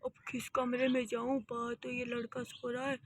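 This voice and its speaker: A woman voicing a sneaky male burglar who mutters to himself in a hushed, grumbling way. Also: fairly high pitch, completely flat delivery, normal speed, slight background noise, voice pushed down, close to an everyday voice.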